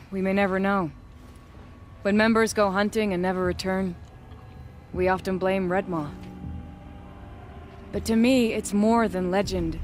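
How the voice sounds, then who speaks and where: A woman's voice: fairly low pitch, slight background noise, lightly acted. Woman acting a proud, resolute young noblewoman hunter. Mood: grave resolve. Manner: formal, resolute storytelling. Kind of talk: cinematic video game acting. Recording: music behind.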